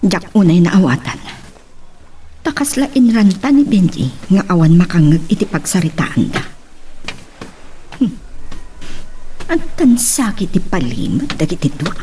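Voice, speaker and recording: An actress plays a haughty, scheming madam in a radio drama. Her pitch swings widely as she speaks, full of suspicion. There is faint noise in the background. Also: sing-song, a little slow, long pauses, mellow. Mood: suspicious, sly. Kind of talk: Ilocano radio drama acting.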